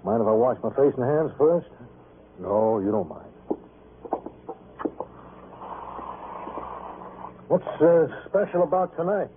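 An American actor playing a bitter, evasive, tired night-shift worker, speaking in short sardonic phrases in old-time radio drama style, with a husky voice. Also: male voice, medium pitch, sing-song, moderate pace. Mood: edgy, evasive. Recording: tinny, band-limited vintage radio recording.

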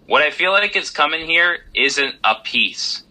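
An American man giving casual sports analysis as a fan, in a measured, speculative way. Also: a little high, expressive, pushed and bright, rough recording.

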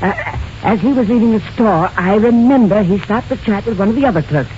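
A man's voice: high-pitched, brisk, a little mellow, natural voice. An actor playing a fussy, effusive shop clerk who gushes and repeats his phrases in an old-time radio drama. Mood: flustered, eager. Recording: dull, noisy vintage radio recording.

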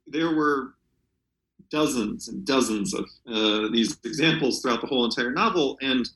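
A man speaking as a literary translator in an unscripted interview, halting and often searching for words. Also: mid-range voice, a bit bright, roomy sound, low-quality recording.

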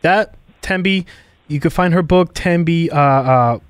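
A man hosting a conversational interview, with halting, repetitive phrasing. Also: on the high side, clear, resonant tone, dry recording. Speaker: interview host.

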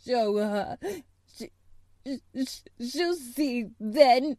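Woman doing a hysterical, high-pitched sobbing boy. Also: darkish tone, no room sound, clean studio sound. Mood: gasping. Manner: gasping, choked, halting delivery. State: crying.

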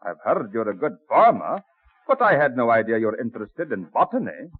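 A tinny, dry old radio recording carries an affable bookseller of colonial days. His voice is husky and moves up and down freely as he talks in a measured, bookish way. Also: medium pitch, big pitch swings, dry recording. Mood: helpful, measured. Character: friendly colonial Philadelphia bookseller, man, measured and helpful. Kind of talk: old-time radio drama acting.

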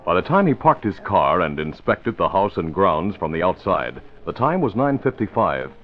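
A man's voice: low-pitched, very animated, normal speed, faint music behind. A man narrating and announcing in scripted 1940s radio style. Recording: thin, tinny old radio recording.

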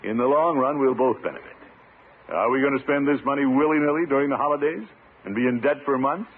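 An actor playing a pompous, easily flustered middle-aged uncle in a 1950s radio sitcom, with blustery, drawn-out exclamations. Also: male voice, medium pitch, breathy edge, close to an everyday voice. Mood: earnest. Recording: tinny, noisy old radio recording.

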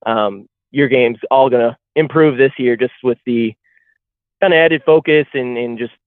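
A man's voice: mid-range voice, normal speed, dry recording. A young man calling in as a guest on a podcast, chatting loosely with lots of fillers. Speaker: guest athlete. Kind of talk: casual podcast interview. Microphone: phone call. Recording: tinny phone line.

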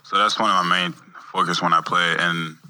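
Very deep, flat-voiced young man. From Montreal, Canada. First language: French. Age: young adult. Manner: soft-spoken, halting, repeats words while searching for them. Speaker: guest athlete. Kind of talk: casual interview answers.

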